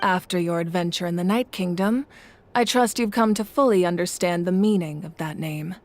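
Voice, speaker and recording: A woman playing a composed, grave ruler in a video game, speaking in measured, deliberate lines. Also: on the low side, slight background noise, close to an everyday voice. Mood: solemn, steady. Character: solemn, composed woman war-god ruler of a nation. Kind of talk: video game voice acting, dramatic exposition. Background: game music.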